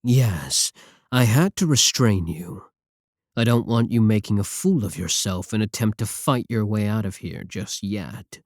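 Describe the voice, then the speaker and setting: A taunting villain scientist, voiced by a man speaks close to the mic in a low, sarcastic tone. He sounds arrogant and condescending. The recording is dry, with no room sound. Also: expressive, unhurried, a little mellow, no room sound. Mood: mocking. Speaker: voice actor in audio roleplay. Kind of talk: ASMR roleplay audio.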